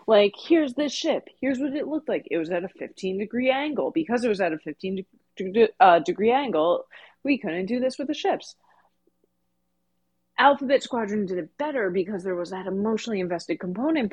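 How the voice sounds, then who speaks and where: A woman's voice: mid-range voice, a little mellow, slightly rough. A woman co-hosting a podcast, discussing fiction in a casual, conversational and emphatic way. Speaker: podcast co-host.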